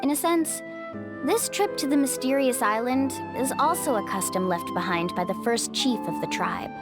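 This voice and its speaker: A woman's voice: a little high, moderate pace, over loud music, close to an everyday voice. A woman playing an upbeat, caring young tour guide in a video game, with a reassuring, confident manner. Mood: reflective, friendly.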